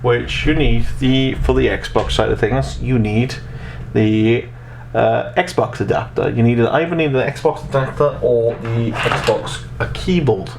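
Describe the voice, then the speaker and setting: A man's voice: medium pitch, a little slow, vocal fry. A man in his twenties giving a casual step-by-step tech tutorial, rambling and often repeating his phrases. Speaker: host of a tech tutorial channel. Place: small room at home, indoors. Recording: noticeable background noise.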